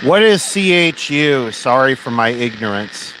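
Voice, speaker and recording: A man's voice: medium pitch, sing-song, measured pace. A man talking casually and hesitantly as he hosts a live demonstration, musing aloud to himself. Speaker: host of a radio demonstration livestream. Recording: loud radio static and hum over voice. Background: noisy shortwave radio static.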